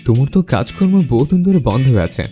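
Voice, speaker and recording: A man's voice: medium pitch, sing-song, fast talker, warm tone, soft background music, close to an everyday voice. A man voicing a friendly, sensible male bird in a cartoon, with a mild, earnest manner. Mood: weary, wary. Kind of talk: scripted cartoon dialogue.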